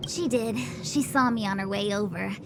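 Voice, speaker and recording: A woman's voice: mid-range voice, moderate pace, creaky, noisy background, close to an everyday voice. A woman playing a laid-back, chatty young diviner's aide in a video game, talking in a casual, breezy way. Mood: casual, helpful. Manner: casual, breezy, drawling slang. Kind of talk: video game cutscene acting. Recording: loud music behind the voice. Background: music.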